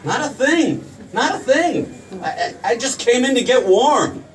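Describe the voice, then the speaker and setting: A man's voice: very high voice, very animated, a little slow, background noise, exaggerated voice. An actor playing an awkward, stubborn young small-town man with stammering, folksy hesitations in an old-time radio play. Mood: agitated. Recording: distant stage mic.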